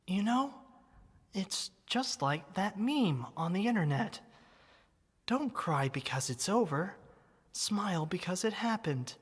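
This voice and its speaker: A woman voicing a gentle, wistful, loving teddy bear in an ASMR roleplay, speaking softly and tenderly. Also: medium pitch, expressive. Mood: fond. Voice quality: soft, breathy. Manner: slow, tender, sentimental. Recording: long reverb.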